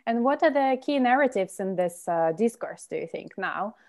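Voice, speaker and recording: Higher-voiced woman moderating a panel. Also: expressive, moderate pace, a little mellow. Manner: friendly questioning, light fillers.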